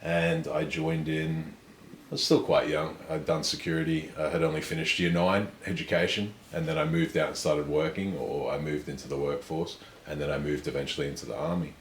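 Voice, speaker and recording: Young man, very low voice, self-assured storyteller, roomy sound. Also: steady tone, a little fast, a little hiss or hum. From Australia. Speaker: guest. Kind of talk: casual conversation. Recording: echoey room with faint ambience.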